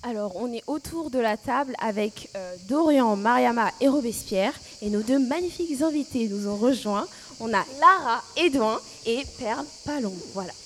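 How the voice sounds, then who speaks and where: Une jeune femme née en France qui présente une émission de radio jeunesse, racontant son histoire de façon franche et expressive. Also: female voice, a little high, normal speed, slight background noise. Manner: personal, candid and expressive. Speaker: host. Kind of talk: youth radio show presentation.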